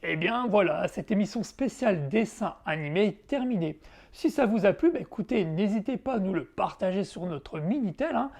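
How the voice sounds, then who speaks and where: A woman's voice: medium pitch, animated, normal speed, a little mellow, a little hiss or hum. A woman hosting a retro game show, narrating a review in a frank, conversational way with casual asides. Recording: background music under the voice.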